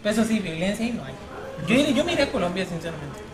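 A woman with a Guatemalan accent talks in a loose, casual way among friends. She is playful and swears freely. Her mid-pitched voice rings in an echoey room. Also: big pitch swings, racing, crowd noise. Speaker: podcast participant playing a question game.

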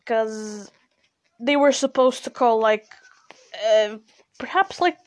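A woman's voice: a little high, slow pace. A teenage girl from Portugal, a native Portuguese speaker, rambling sleepily in a casual personal chat and saying "you know" a lot. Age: teens. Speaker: podcast host.

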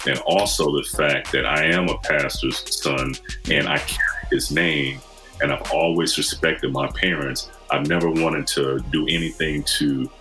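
A man's voice: very deep voice, steady tone, unhurried, pushed and bright, faint music behind. A man in his forties from Michigan, a podcast guest telling his story in an earnest, reflective way. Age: 40s. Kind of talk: casual conversation.

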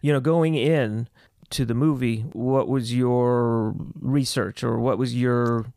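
A man with a smooth voice conducts a casual interview. He talks slowly and haltingly, searching for how to phrase his question. The sound is close and dry. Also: mid-range voice, steady tone, a little mellow, no echo.

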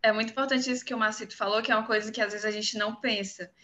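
A woman's voice: on the high side, steady tone, very fast, bright, forceful tone. A young woman with a Brazilian Portuguese accent, a podcast guest casually sharing advice and experience over an online call. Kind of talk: casual conversation, giving advice.

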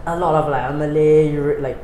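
A woman's voice: low voice, steady tone, fast, dark tone, a little hiss or hum. A young woman with a Singaporean English accent, chatting as an interview guest, laughing often and full of fillers. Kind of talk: casual interview chat. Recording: roomy with faint ambience.

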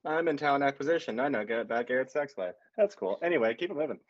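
A thirty-year-old man with a husky voice trades crude, self-mocking jokes as a podcast co-host. His humor stays dry, and his pitch sits in the middle range. The audio sounds dull and lo-fi. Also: lively intonation, fast talker. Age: 30s. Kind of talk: casual banter.

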